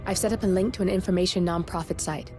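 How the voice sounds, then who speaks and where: A woman playing a brisk, wry hacker ally in a video game cutscene, with an informal manner. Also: on the low side, steady tone, close to an everyday voice. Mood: businesslike. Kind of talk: video game cinematic acting. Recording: music behind the voice.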